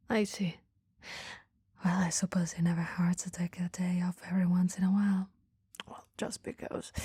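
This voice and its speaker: A woman acts out a caring female boss with a flirty, easily flustered streak in an intimate ASMR roleplay. The voice is very breathy and mellow, often slipping into a whisper. It sits close and dry on the microphone, with a gently teasing, hesitant delivery. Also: fairly low pitch, dry recording. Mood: relieved, gentle. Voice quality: breathy, soft.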